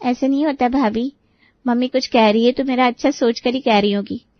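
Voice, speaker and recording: High-pitched meek young daughter played by a woman. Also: steady tone, fairly quick, muffled recording, lightly acted. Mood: earnest. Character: young obedient, self-blaming, naive daughter.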